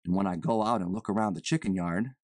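A woman's voice: very deep voice, fast, a little mellow, dry recording, voice pushed down. A woman voicing a nervous, evasive man who poses as a chicken buyer, with folksy, ungrammatical, rambling talk. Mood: nervous, persuasive.

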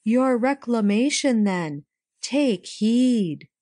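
Woman reader doing slow solemn gentle ghost, dry close sound. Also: fairly high pitch, animated, no room sound. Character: gentle, solemn spirit of the past.

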